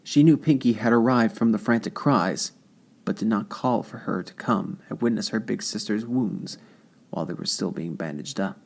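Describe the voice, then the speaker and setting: A narrator reading dramatic fan fiction in a solemn, measured way with deliberate phrasing. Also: medium pitch, gravelly, slightly rough. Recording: loud music under the voice. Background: loud music.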